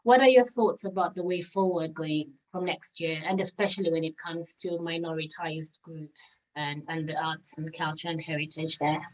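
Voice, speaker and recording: A woman's voice: low-pitched, fairly even intonation, normal speed, hardly any pauses. A woman hosting an interview, asking questions in a conversational style. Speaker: interviewer, host. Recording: distant from the microphone.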